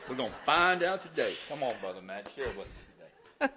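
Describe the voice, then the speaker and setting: A man leading a church service, introducing the preacher and reminiscing in a folksy, warm way about old hymns. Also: medium pitch, big pitch swings, fast talker, crowd noise. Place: church sanctuary. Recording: church recording with background noise.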